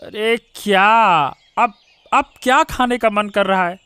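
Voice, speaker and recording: A man's voice: high voice, slow, pushed and bright, clear, resonant tone, no echo, exaggerated voice. A man voicing an irritable, poor village father in a cartoon story, brusque and dismissive. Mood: irritated, dismissive. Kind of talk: Hindi moral-story cartoon dubbing.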